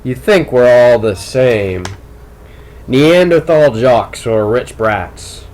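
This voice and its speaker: A man voicing a brilliant, manipulative teenage tennis star in an audiobook, speaking in a deliberate, probing and coolly insistent way. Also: mid-range voice, lively intonation, a little mellow. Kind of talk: audiobook character dialogue.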